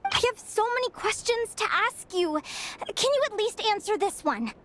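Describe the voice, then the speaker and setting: Game role, curious young woman; very high, animated voice, unhurried, pleading tone. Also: a little hiss or hum. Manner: persistent, pleading, eager questions. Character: earnest curious young woman, a keen nature student. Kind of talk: game cutscene voice acting. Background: game score.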